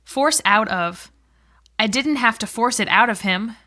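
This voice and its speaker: A woman reciting phrases for a language lesson with careful, clear enunciation. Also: on the high side, lively intonation, a little slow, pushed and bright. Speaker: phrase reader.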